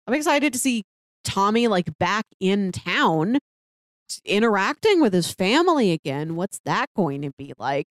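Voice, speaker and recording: A woman's voice: medium pitch, sing-song, moderate pace, dry recording, clean studio sound. A woman co-hosting a casual TV review chat, thinking aloud and restarting her phrases as she goes. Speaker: podcast co-host.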